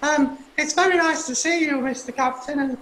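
High, timid little puppet played by a woman. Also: normal speed, fairly bright tone. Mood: nervous. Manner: hesitant, polite, wide-eyed. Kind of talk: children's puppet show acting. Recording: roomy, noisy home recording.

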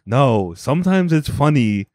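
A man co-hosting a podcast, trading crude jokes in a flat, deadpan way. His voice sits in a middle register and leaps up when he mimics people. The sound is dry and close with no room echo. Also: a little slow. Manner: crude deadpan joking, swings up high for mock voices. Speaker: podcast co-host. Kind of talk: casual comedic chat.

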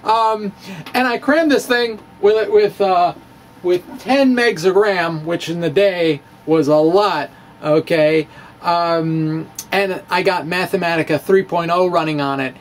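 A middle-aged man talking in a halting, wry, conversational way as he presents his personal blog on physics into a close mic. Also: sits high, slight background noise. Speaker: amateur physicist and video blogger. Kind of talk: dramatic reading of a personal blog, loosely conversational. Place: small indoor room with bookshelves. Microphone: handheld-style dynamic mic on a stand, close up.

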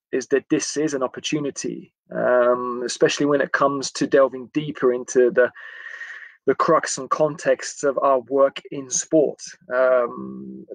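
English male coach, gravelly edge, chatty and hesitant, live to webcam in a dry small room. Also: mid-range voice, no echo, poor audio. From England. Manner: friendly, chatty, halting with frequent pauses. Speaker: football coach giving a livestream talk. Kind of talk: informal live talk to camera. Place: indoors, small attic room.

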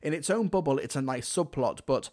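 A man's voice: on the high side, expressive, brisk, a little mellow. A man delivering scripted review narration as a reviewer.

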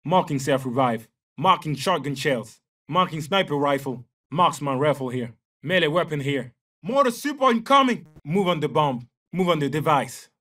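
Forceful French soldier, clipped callouts. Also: male voice, fairly high pitch, expressive, normal speed, far from the mic. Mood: urgent, forceful. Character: confident young male special forces soldier with football slang. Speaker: video game operator. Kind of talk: military shooter game voice lines.

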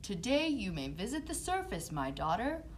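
A woman's voice: medium pitch, very animated, normal speed, lightly acted. A woman voicing a protective, stern merman king and father in a children's puppet storytime. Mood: caring, stern.

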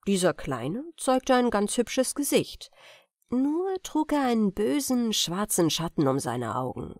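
A woman reading a fairy tale aloud as an expressive audiobook narrator. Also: mid-range voice, measured pace, dry recording, clean studio sound.

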